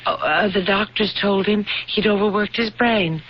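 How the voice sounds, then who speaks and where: An actress playing a steadfast, thoughtful young woman in an old-time radio drama, speaking deliberately, phrase by phrase. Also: medium pitch, close to an everyday voice. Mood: hesitant. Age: young adult. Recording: dull, faintly noisy lo-fi radio recording.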